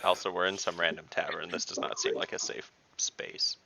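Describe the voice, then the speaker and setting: A man's voice: low voice, little pitch movement, moderate pace, creaky, pushed and bright, low-quality recording. A man chatting casually as a player in an online tabletop game, with sardonic, sweary banter.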